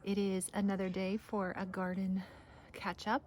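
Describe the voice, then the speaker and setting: Female garden vlogger, average pitch, gushing and informal, rambling walk-and-talk delivery, some outdoor background noise. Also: flat delivery, normal speed, darkish tone. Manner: enthusiastic, gushing. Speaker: garden vlog host. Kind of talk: casual rambling vlog chat. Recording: noisy outdoor ambience.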